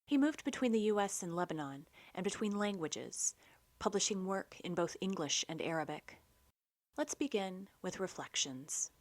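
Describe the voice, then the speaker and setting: A woman hosting a poetry program, reading scripted narration and verse with measured, deliberate phrasing. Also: on the low side, expressive, dry recording. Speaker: poetry program host and reader.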